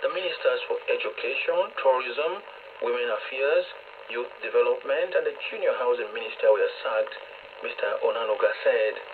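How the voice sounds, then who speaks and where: A man's voice: mid-range voice, lively intonation, normal speed, phone-quality sound. Male newsreader on thin shortwave radio. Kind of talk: scripted news reading. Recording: thin shortwave radio signal, faint static.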